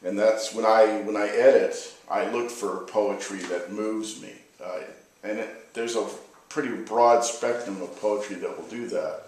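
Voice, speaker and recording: An American man speaking as a poet at a live reading, with measured, deliberate phrasing. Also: on the low side, fairly even intonation, a little mellow. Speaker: poet reading own work. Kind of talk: live poetry reading with informal spoken introduction. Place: reverberant room at a live reading. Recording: echoey live room, distant microphone.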